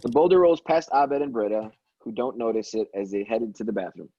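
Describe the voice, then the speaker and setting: A man's voice: mid-range voice, a little fast, warm tone, no echo. A man reading stage directions as narrator in an amateur table read, stumbling now and then and correcting himself. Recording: dull, dry lo-fi microphone.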